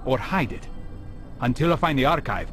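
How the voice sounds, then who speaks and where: Game role: male actor as brooding young assassin, measured, resolute, mid-pitched, faint music underneath. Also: lively intonation, fairly bright tone, lightly acted. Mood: cold, defiant. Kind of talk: scripted video game cutscene acting.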